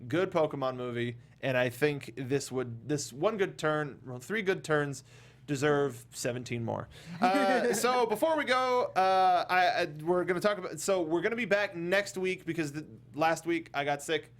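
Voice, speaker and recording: A man's voice: a little high, moderate pace, a bit bright. A man hosting a casual panel chat, talking in a jokey, rambling way. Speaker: podcast host.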